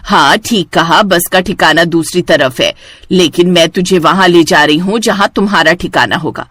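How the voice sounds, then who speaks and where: A woman's voice: on the low side, normal speed, a bit bright, no room sound, pitched below the performer's own voice. A woman voicing a cold-hearted, greedy village aunt who talks in a sly, calculating way, in a cartoon. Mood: cold, sinister. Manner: sly, calculating, falsely concerned. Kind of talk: Hindi cartoon villain acting.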